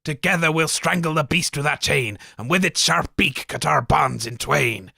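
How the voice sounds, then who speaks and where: A man's voice: fairly high pitch, normal speed, gravelly, sharp tone, breathy edge, no echo, studio quality, full character voice. A man voicing an evil, scheming pirate captain in rhyming verse. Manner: rhyming villain speech.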